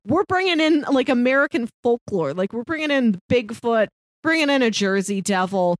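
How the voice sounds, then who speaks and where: Female film-podcast co-host, higher register, casual and playfully enthusiastic, dry close sound. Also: expressive, normal speed, dry recording. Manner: enthusiastic, playful fan talk. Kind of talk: casual film discussion chat.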